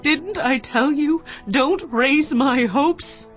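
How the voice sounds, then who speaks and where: A woman's voice: sits high, takes it slowly. A woman voicing a determined, devoted wealthy woman. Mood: pleading. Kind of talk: dramatized audio scripture. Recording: dull dry audio with music.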